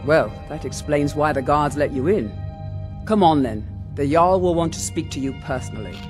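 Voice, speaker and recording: A suspicious bodyguard at a fantasy court, acted by a man for a video game. The voice is slightly high, curt and formal, all stern duty. Music is clear behind it. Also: normal speed, lightly acted. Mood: brisk, serious. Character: stern, suspicious armed guardian of a jarl. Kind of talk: video game character dialogue.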